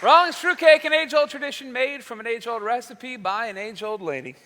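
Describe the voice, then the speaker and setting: A man plays a jovial old-time radio announcer with a wry comic delivery. His voice is very high and bright, carrying through an echoey hall. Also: brisk, some chatter behind, a little hiss or hum. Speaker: radio show announcer and host. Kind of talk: comic sponsor spiel and monologue. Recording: echoey hall, faint music.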